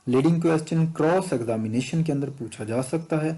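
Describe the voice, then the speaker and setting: A man's voice: on the high side, a little fast, warm tone. A man with a Pakistani accent giving a methodical, explanatory exam-prep lesson as a teacher. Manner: methodical, explanatory, switches between reading out and explaining. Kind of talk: instructional walkthrough of multiple-choice questions.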